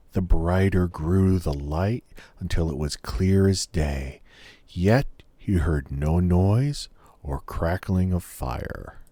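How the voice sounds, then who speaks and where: A man narrating a scripted fairy tale as a storyteller, in a measured, dramatic manner. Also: on the low side, darkish tone, dry recording, clean studio sound.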